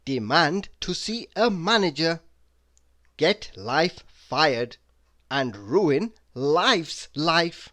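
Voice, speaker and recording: A person reading mock-inspirational quotes aloud in a deliberate, dramatic manner, pausing between phrases. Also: medium pitch, sing-song, sharp tone. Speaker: reader of quotes.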